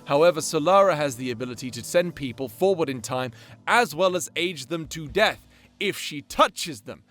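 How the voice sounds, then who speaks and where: A man's voice: fairly high pitch, lively intonation, moderate pace, a bit bright, faint music behind. A man hosting a channel with casual, sweary, rambling commentary in an informal, chatty way. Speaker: creator and host of a fan-facing channel.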